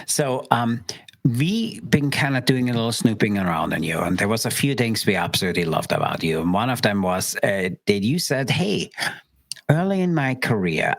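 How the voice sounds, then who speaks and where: A man's voice: lowish voice, lively intonation, moderate pace, vocal fry, fairly bright tone, no room sound. A man hosting a podcast interview, enthusiastic and a little rambling in his casual questions. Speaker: podcast host.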